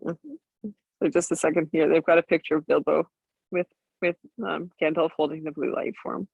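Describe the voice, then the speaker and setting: Bedtime storyteller, female: lowish husky voice, animated intonation, expressive, recorded dry. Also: moderate pace, no echo, narrow, tinny audio. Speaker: storybook reader.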